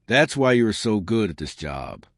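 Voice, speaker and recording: A man's voice: fairly low pitch, big pitch swings, moderate pace, no room sound, clean studio sound. A man voicing a male chef who is the encouraging older brother of the heroine.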